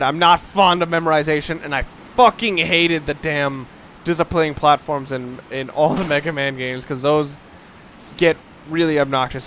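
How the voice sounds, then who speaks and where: A man's voice: a little high, fairly bright tone, slight background noise. A young man giving casual, rambling gameplay commentary, swearing often and poking fun at himself. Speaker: let's play commentator.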